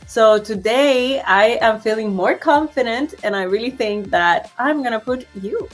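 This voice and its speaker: A woman hosts the interview at an unhurried pace, friendly and chatty with fillers. Her voice sits at a middle pitch, and faint music plays underneath. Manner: friendly, laughs between questions, uses fillers. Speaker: interview host. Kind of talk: casual interview hosting.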